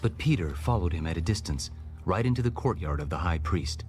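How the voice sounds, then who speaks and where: A low-pitched man narrates scripture with solemn gravity. His storytelling is measured and scripted, as in an audio Bible. Music runs clearly behind his voice. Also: lively intonation, a little mellow.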